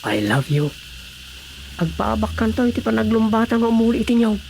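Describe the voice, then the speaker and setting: A man's voice: sits high, expressive, a little fast, creaky, loud music behind the voice. A man playing a lovestruck, hesitant young suitor who pleads, in an Ilocano radio comedy. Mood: pleading. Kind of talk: Filipino radio drama comedy acting.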